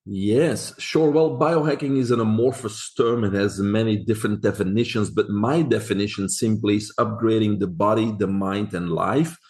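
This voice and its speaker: Low-voiced Belgian-accented man. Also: moderate pace, few pauses. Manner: explanatory, steady, with filler sounds. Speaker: guest physician. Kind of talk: interview answers.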